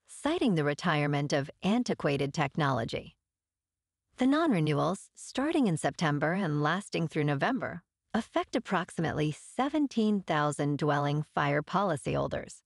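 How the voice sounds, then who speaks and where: A woman hosting a local morning news podcast, reading a script in an even, smooth way. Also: low voice, no echo, studio quality.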